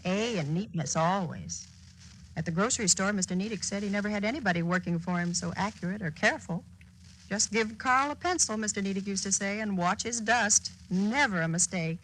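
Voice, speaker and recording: A woman's voice: mid-range voice, fairly quick, a bit bright, natural voice. An actress playing a proud, matter-of-fact middle-aged American mother from a small town in a 1940s radio drama. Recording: noisy old 1940s radio recording.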